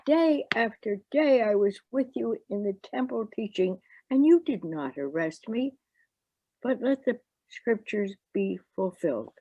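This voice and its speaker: A woman reading the part of Jesus as a grave, sorrowful teacher in a solemn, deliberate scripture reading. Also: medium pitch, expressive, warm tone, no echo, highs cut off. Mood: resigned.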